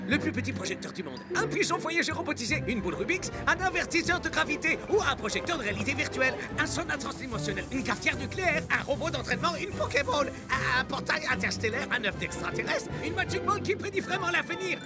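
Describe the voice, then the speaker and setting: Young cartoon footballer, high and swooping, excitable, baffled, prone to dramatic musing; music underneath. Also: male voice, fast, hardly any pauses, full character voice. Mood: excited speculation. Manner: exclaiming, dramatic musing to himself. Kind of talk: French animated series dub. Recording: music behind the voice.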